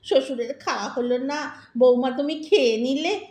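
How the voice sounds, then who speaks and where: An actress playing a sharp-tongued, defiant young woman in an audio drama, sarcastic, bossy and impatient in a theatrical way. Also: sits high, normal speed, close to an everyday voice. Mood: mocking, exasperated. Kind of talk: Bengali audio drama acting. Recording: roomy, echoing voice recording.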